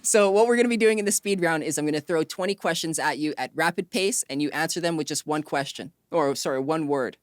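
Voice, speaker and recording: A woman's voice: sits low, fast talker, hardly any pauses, dry recording, studio quality. A woman hosting an interview in a casual, informal way, often affirming with short repeated acknowledgements. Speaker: interview host.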